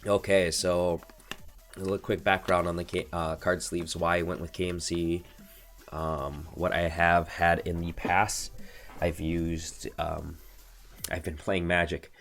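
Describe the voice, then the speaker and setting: A deep, gravelly American man with a stuffy nose from allergies. He streams from his home desk and rambles casually, full of ums and restarts. Faint music sits low behind him. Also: little pitch movement, unhurried, a bit bright. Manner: rambling, many fillers and self-corrections. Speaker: streamer reviewing card game accessories. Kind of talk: casual product review livestream.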